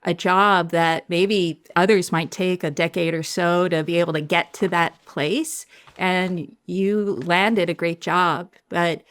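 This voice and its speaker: A middle-aged woman hosting an interview podcast, who speaks reflectively and often pauses to search for words. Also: lowish voice, fairly even intonation, smooth. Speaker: podcast host.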